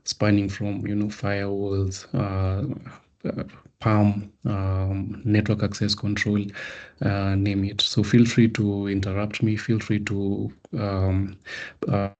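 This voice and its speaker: A sales engineer presents a product overview on an online call. His low voice stays flat and even throughout, with only occasional fillers. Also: slow, lo-fi sound. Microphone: online meeting audio.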